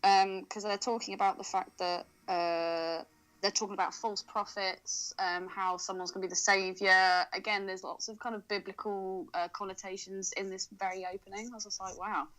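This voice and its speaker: Bright-voiced woman, casual podcast banter. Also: medium pitch, little pitch movement, normal speed, poor audio. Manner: teasing, sweary banter. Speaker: podcast co-host. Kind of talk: casual film chat.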